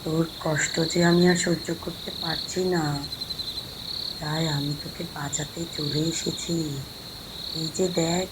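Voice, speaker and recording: A woman voicing a witch who comes back as a girl's protective mother, speaking slowly and commandingly in a cartoon. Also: deep voice, steady tone, pitched below the performer's own voice. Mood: tender. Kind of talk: cartoon voice acting. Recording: loud background noise.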